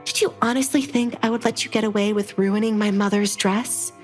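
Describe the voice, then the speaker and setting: A woman's voice: medium pitch, fairly even intonation, brisk, soft background music, lightly acted. Woman acting a seething, vengeful young noblewoman. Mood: contemptuous anger. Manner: speaks through gritted teeth. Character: wronged young noblewoman, vengeful, cold and defiant.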